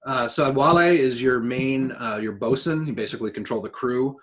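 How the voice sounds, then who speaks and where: A man's voice: mid-range voice, fairly quick. A man co-hosting a casual podcast, talking enthusiastically and swearing freely. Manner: profane, enthusiastic. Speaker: podcast co-host.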